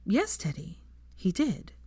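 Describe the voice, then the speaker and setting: A woman voicing a protective, frank young woman in a storybook reading, earnest and gently firm with a child. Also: medium pitch, huge pitch swings, slow pace, husky, dry recording, exaggerated voice. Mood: gentle, proud.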